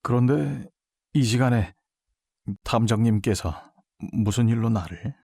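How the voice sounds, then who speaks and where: A man's voice: mid-range voice, a little slow, gravelly, breathy edge, no room sound, studio quality. A male actor playing a guilty middle-aged baseball umpire in an audio drama, talking down to others with defensive, menacing indignation. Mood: feigned calm. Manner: condescending, feigned calm breaking into indignant outbursts.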